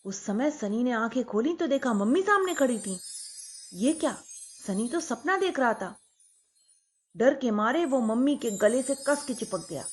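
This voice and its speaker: Woman storyteller, high voice, faint chime music underneath; bedtime narration for kids. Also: expressive, a little slow. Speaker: children's storyteller.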